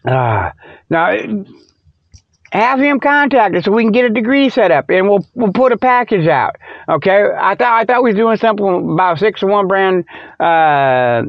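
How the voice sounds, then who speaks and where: High-pitched folksy man, dull lo-fi sound. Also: very animated, normal speed, no room sound. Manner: folksy, ungrammatical, digressive. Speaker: host of a comedic Bigfoot radio show. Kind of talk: rambling informal comic monologue.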